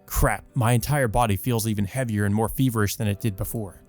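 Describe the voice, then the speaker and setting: A man's voice: on the low side, fairly even intonation, fairly quick, a little mellow. A man reading first-person visual novel narration aloud as a let's player. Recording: music behind the voice. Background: music.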